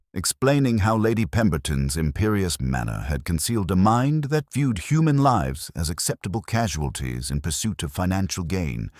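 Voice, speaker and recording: A man narrating a scripted mystery story in a measured, formal storytelling manner. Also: a bass voice, darkish tone, dry recording, clean studio sound. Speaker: audiobook narrator.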